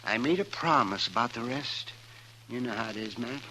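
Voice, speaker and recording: Frontier doctor for radio western, male actor: older, crusty, principled, folksy storyteller, mid-pitched, on hissy vintage tape. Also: lively intonation, normal speed, lightly acted. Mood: weary recounting. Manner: folksy, emphatic storytelling with rural grammar. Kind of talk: old-time radio western acting. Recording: noisy vintage radio recording.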